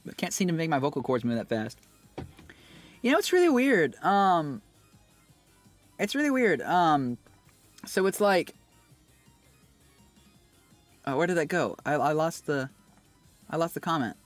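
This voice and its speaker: Male streamer, fairly high voice, bouncy intonation, dry close mic, faint music underneath. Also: no echo. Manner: animated and rambling, full of fillers and quick laughs. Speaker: streamer and singer. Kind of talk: casual livestream chat with viewers.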